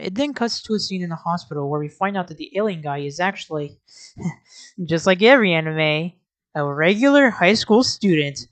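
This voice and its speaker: A woman's voice: lowish voice, no echo. A woman giving scripted video commentary as an anime reviewer, in an informal, sarcastic way.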